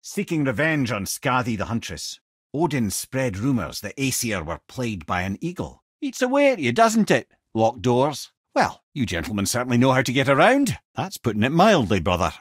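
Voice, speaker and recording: A Scottish actor voicing a witty, talkative old male sage in a video game, with a husky voice and a wry storyteller's manner. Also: mid-range voice, sing-song, normal speed, no room sound, clean studio sound. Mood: dry, sardonic, then amused, wry.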